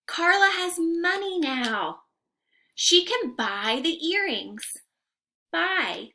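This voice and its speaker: High, animated young woman teaching little children. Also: drawn-out words. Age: young adult. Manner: animated, sing-song, carefully enunciated with questions to young listeners. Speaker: teacher reading aloud to children. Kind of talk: interactive children's read-aloud lesson. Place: room at home.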